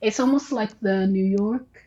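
Laughing woman from Nigeria, mellow voice. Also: mid-range voice, unhurried, muffled recording. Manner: laughs often, animated storytelling. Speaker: podcast guest. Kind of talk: casual interview chat.

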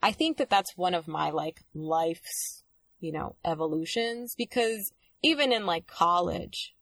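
A young woman speaking reflectively in casual conversation, with many pauses and restarts. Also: on the low side, fairly bright tone, no echo. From Dominican Republic.

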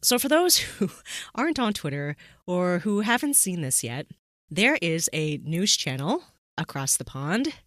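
A woman's voice: fairly low pitch, sing-song, a little slow, fairly bright tone, no echo, clean studio sound. A woman hosting a podcast in a casual, chatty, amused and informal way. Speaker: podcast host.

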